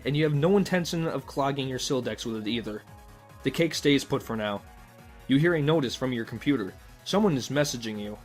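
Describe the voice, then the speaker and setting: Casting: male narrator for a comic adventure, mock-formal and wry, medium pitch, music bed underneath. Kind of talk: scripted comic narration in second person.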